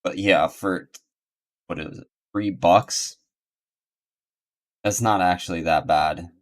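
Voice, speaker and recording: A man's voice: low voice, steady tone, takes it slowly. A man doing casual livestream game commentary, rambling and thinking aloud as he goes. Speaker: video game streamer and host. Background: game music.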